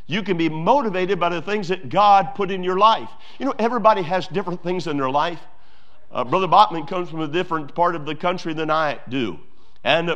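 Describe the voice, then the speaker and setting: Fairly high-voiced man preaching emphatically. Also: a bit bright, lo-fi sound. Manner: emphatic preaching with repetitions and fillers. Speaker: pastor. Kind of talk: sermon.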